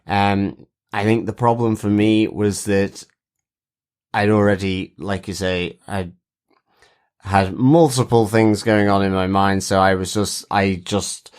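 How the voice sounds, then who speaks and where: A man's voice: on the low side, steady tone, slow pace, darkish tone, clean tone. A man co-hosting a podcast, chatting agreeably and casually about TV and reading out listener feedback. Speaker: podcast co-host.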